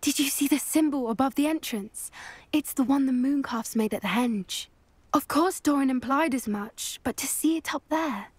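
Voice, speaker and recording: A woman's voice: high voice, moderate pace, no echo, natural voice. A woman playing an earnest, eager young witch in a fantasy video game, with an inquisitive manner. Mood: curious. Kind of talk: scripted fantasy game dialogue.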